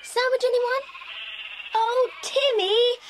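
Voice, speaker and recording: A woman's voice: very high-pitched, steady tone, slow, a little hiss or hum, rough recording. A female performer playing a timid, sensible little English girl, earnest and slightly plaintive, with an English accent in a children's audio drama. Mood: earnest, domestic.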